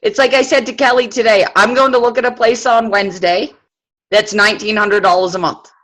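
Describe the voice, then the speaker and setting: A woman's voice: mid-range voice, steady tone, a little fast, fairly bright tone. A woman on a sales team speaking frankly and determinedly in a casual chat. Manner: frank, plain-spoken, determined.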